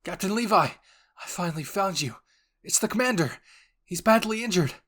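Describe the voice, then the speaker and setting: An agitated young male soldier from an anime, played by a man, loyal and panicked. His speech is urgent, with the pitch pushed up. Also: lively intonation, normal speed, a bit bright, slightly rough, heavily acted. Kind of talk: anime roleplay voice acting.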